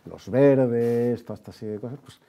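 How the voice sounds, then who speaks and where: A man's voice: mid-range voice, moderate pace, mellow. A man with an accent from Spain hosts an opinionated history discussion, speaking in an emphatic, sardonic manner and repeating phrases. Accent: Spanish from Spain. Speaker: host and interviewer.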